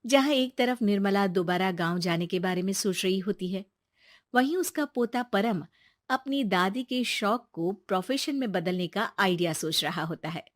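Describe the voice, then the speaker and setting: A woman's voice: mid-range voice, animated, moderate pace, dry recording, studio quality. A woman narrating a scripted moral story as its storyteller.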